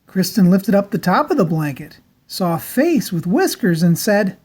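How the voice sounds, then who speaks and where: A woman storyteller reads a children's book aloud with theatrical flair. Her medium-pitched voice moves widely up and down, leaning on key words with deliberate emphasis. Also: sing-song, a little mellow, slight background noise. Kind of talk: dramatic storybook read-aloud.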